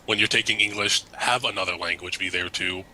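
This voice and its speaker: American man, low-ish voice with a very bright, sharp edge, teasing podcast co-host, faint hiss. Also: fairly even intonation, poor audio. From United States. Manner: teasing, quick with pedantic quips. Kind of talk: casual chat.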